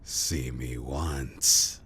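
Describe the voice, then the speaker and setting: A menacing shadow demon for a fantasy audio comedy, rumbling extremely low. The words are dragged out slowly and threateningly in a gravelly growl. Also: steady tone, a bit bright, exaggerated voice. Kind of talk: comedy audio drama creature voice.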